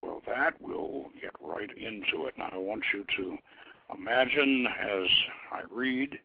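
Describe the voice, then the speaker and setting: A man hosting a radio show, speaking in halting, deliberate phrases with a husky voice, as storyteller and presenter. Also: lowish voice, animated. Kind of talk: informal show introduction and dramatic story reading. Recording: tinny phone-line radio sound.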